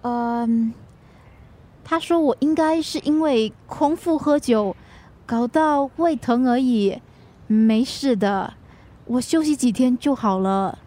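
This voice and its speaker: High-voiced troubled Singaporean wife. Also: female voice, takes it slowly, warm tone. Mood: troubled, subdued. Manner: emotional, pleading. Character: troubled pregnant wife, anxious and easily wounded. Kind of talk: radio drama acting.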